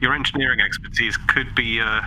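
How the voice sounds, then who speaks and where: A man's voice: medium pitch, fairly even intonation, a little fast, background noise. A man improvising in character in a tabletop game, speaking politely, tentatively and a little wordily.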